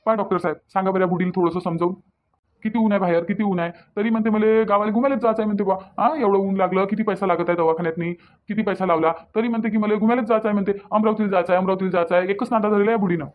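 A man's voice: high voice, steady tone, very fast, narrow, tinny audio, natural voice. A man voicing an exasperated, worried grown son in a cartoon, folksy and fretful, with a Varhadi Marathi accent. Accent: Varhadi, Vidarbha Marathi. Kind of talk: cartoon dialogue.

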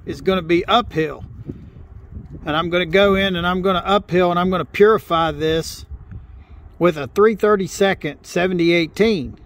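High-voiced man teaching welding outdoors. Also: fairly bright tone. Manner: plain-spoken, repeats and restarts phrases while explaining. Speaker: welder teaching on camera. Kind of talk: hands-on welding tutorial. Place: outdoors by a log truck in a gravel yard.

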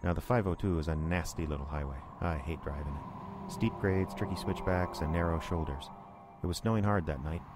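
An actor playing a reflective storyteller, giving scripted first-person narration for a horror drama. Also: male voice, very deep voice. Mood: ominous, tense. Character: reflective male storyteller, repairman recalling eerie past. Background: music.

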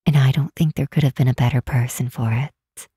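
A woman playing a regal, protective demon queen in a comforting ASMR roleplay, speaking softly and soothingly in a deliberate, hushed way. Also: very deep voice, steady tone, no room sound, studio quality, full character voice. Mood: encouraging, firm. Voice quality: soft, breathy, hushed.

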